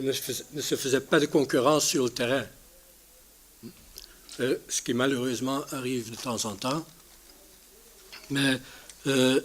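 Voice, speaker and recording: A man, a native Dutch speaker with a Dutch accent, giving a conference presentation in a halting way, searching for his words. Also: mid-range voice. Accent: Dutch-speaking, non-native French. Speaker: conference speaker from a healthcare organisation.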